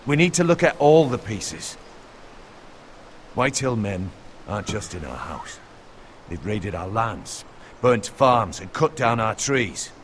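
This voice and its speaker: An actor playing an earnest, principled counsellor to a lord in a video game drama, speaking formally. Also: male voice, lowish voice, sing-song, slow pace, long pauses. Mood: grave, concerned. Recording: faint background noise.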